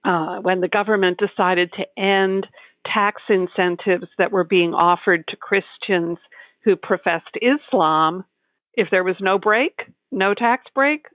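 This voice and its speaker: A woman from the United States, a tax advisor, explaining in a measured, articulate way as a podcast guest. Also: mid-range voice, dry recording. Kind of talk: podcast interview. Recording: tinny phone-like line.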